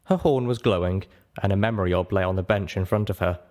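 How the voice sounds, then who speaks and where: A man narrating a story in the first person with an expressive, theatrical, dramatic delivery. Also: deep voice, fast talker. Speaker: audiobook narrator.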